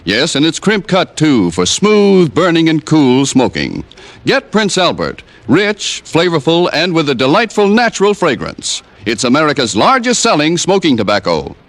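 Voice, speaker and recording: A man announcing and reading ads in a brisk, salesy way, in a 1950s radio broadcast. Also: a little high, wildly swooping pitch, slight background noise. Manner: bright, salesy.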